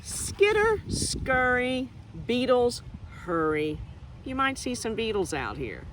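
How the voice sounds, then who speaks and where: A woman teacher reading a picture book to young children outdoors, expressive and asking them questions directly as she explains things simply. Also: on the high side, takes it slowly, noisy background. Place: outdoors in nature.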